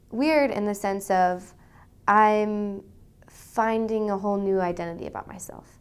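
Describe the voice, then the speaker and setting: A woman's voice: medium pitch, smooth. A young woman telling an anecdote animatedly in an interview, acting out both sides of a conversation. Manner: animated, storytelling with quoted exchanges. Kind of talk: casual interview answers.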